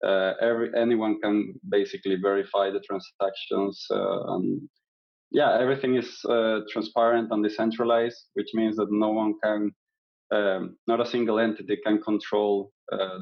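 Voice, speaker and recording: Low-ish gravelly guy from venezuela, lots of uh and um, kinda dull lo-fi audio. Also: little pitch movement, normal speed. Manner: hesitant, many fillers and restarts, laughs lightly. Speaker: interview guest, candidate. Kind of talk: casual interview answers. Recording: dull lo-fi sound.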